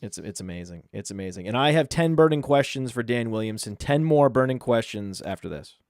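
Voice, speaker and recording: Man, faint maine accent, podcast host, casual, repeats himself, trails off, mid pitch, dry close sound. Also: animated, fairly quick, no echo. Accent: Maine, mostly suppressed. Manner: repeats words, trails off quietly, swears casually. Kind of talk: casual sports podcast chat and read ad.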